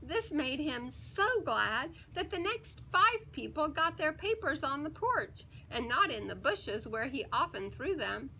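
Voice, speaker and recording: High-voiced woman reading aloud to young children. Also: big pitch swings, creaky, bright, forceful tone, slight background noise, rough recording. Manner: theatrical, emphatic, with dramatic pauses. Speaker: storyteller reading a children's picture book aloud.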